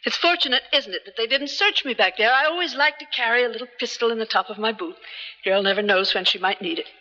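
An actress playing a cool, mocking femme fatale in a radio drama, amused and condescending. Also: female voice, on the high side, quick pace, sharp tone. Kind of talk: 1970s American radio drama acting. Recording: dull, reverberant vintage radio recording.

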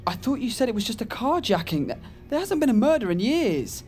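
An actress playing a guarded, wryly joking friend on the run in audio drama dialogue. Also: female voice, fairly high pitch, sing-song, a little fast, a little mellow. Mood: alarmed.

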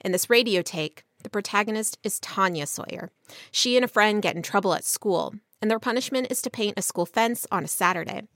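A woman radio reporter delivers scripted news narration at a medium pitch. The sound is very dry, as if close and free of any room. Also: a little fast, a bit bright, dry recording.

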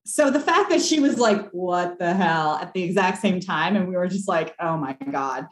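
Animated young woman in an echoey room. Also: lowish voice, moderate pace. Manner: animated, laughing, many fillers. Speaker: caller. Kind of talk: casual storytelling.

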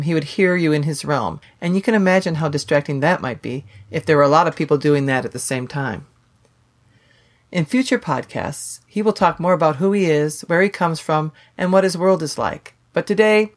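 Low-voiced woman hosting a podcast. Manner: measured, explanatory. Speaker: podcast host. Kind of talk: casual podcast chat and scripted intro.

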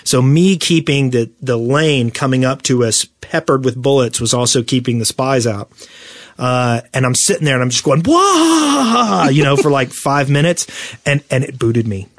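A man co-hosting a casual gaming podcast, telling stories in an animated way. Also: medium pitch, moderate pace, no room sound. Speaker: podcast co-host.

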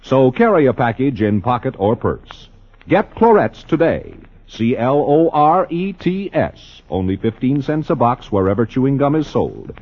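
An emphatic male announcer sells in old-time radio fashion. His pitch leaps widely and his voice is a little rough and husky. The recording sounds dull and aged. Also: big pitch swings, measured pace. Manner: emphatic salesman delivery. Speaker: radio announcer reading sponsor message. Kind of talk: 1950s radio commercial announcing.

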